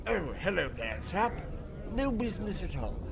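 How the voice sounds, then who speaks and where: A man's voice: high voice, very animated, normal speed, creaky, a crowd in the background, heavily acted. A man voicing a genteel, scholarly little ape professor with pompous old-fashioned politeness, in a radio comedy with noise behind.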